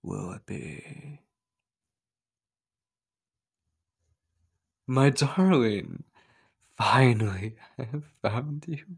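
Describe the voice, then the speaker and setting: A male voice actor plays a possessive male stalker in an ASMR horror roleplay. His voice is husky and gravelly, and he speaks slowly and haltingly, moving between breathy menace and clingy pleading. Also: mid-range voice, lively intonation, exaggerated voice. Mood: pleading, hunting. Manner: slow, halting, slipping between breathy whispers and urgent pleading.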